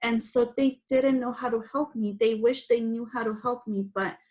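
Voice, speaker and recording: A woman's voice: fairly high pitch, steady tone, moderate pace, a little mellow. A woman from Guatemala whose first language is Spanish, telling her personal story to children in simple, explanatory phrases. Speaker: guest speaker telling personal story to schoolchildren.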